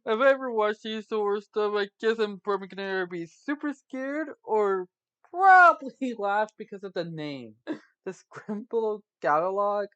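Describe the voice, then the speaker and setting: A woman's voice: medium pitch, unhurried, a bit bright, clear, resonant tone, lo-fi sound. A woman casually reacting to a video, giggling often and answering in short interjections.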